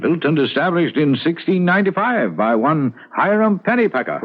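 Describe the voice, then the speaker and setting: Lilting, kindly old country gentleman. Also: fairly high pitch, very animated, normal speed. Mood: genial. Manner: folksy, hesitant, chuckling old-fashioned talk. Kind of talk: old radio drama acting. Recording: muffled old radio recording.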